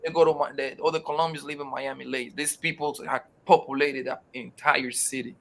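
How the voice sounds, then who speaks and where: A man talking casually as a podcast co-host, animated and swearing freely, calling the other man bro. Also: medium pitch, fairly quick, a little hiss or hum. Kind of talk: casual conversation.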